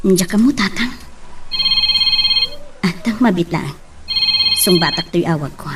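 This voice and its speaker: A woman acting in a radio drama as a lively, concerned daughter. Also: lowish voice, unhurried, distant mic, very noisy. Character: lively, concerned daughter talking with her father.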